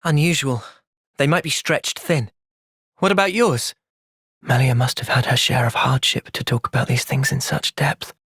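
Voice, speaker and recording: A man voicing a thoughtful, loyal young hero in a video game, with an earnest, measured delivery. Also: mid-range voice, fairly even intonation, a bit bright, husky, no room sound, clean studio sound. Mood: calm. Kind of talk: video game cutscene voice acting.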